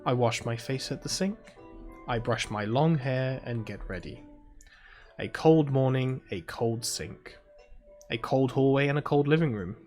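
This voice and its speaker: A proud schoolgirl mage, half asleep and grumbling through a cold morning, read by a British man in his ordinary voice. The pitch sits mid-range and the reading moves in a plain, unhurried way. Faint music plays underneath. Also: darkish tone, natural voice. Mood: drowsy. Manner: drowsy, grumbling first-person reading. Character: sleepy proud teenage girl mage. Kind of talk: reading a visual novel aloud.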